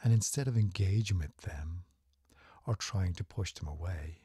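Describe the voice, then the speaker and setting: A man narrating a guided meditation in a soothing, gentle, unhurried way, his breathy, husky voice often falling close to a whisper. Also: sits low, no room sound.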